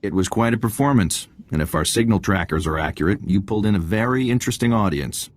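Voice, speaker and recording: An actor playing a confident, wry military intelligence agent giving a mission briefing in a video game. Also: male voice, fairly low pitch, lively intonation, quick pace, no room sound. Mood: wry, pleased. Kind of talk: video game cutscene dialogue.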